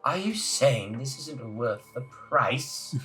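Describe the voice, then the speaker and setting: A man voicing a pushy, syrupy spider-woman shopkeeper from a visual novel, with drawn-out coy politeness. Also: mid-range voice, lively intonation, sharp tone, far from the mic, faint music behind. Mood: offended, pointed. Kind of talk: hammy visual novel read-aloud.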